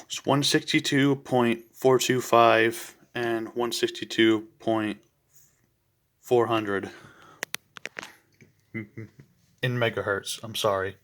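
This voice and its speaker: Slow-talking man in casual vlog chat. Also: mid-range voice, steady tone, a bit bright. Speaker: hobbyist filming weather radios. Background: faint noise, weather radios.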